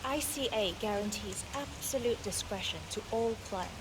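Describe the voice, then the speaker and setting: A woman playing a composed, businesslike guide at a secretive agency in a game, speaking in a formal, measured, official way. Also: medium pitch, background noise, lightly acted. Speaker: facility guide. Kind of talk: scripted game dialogue.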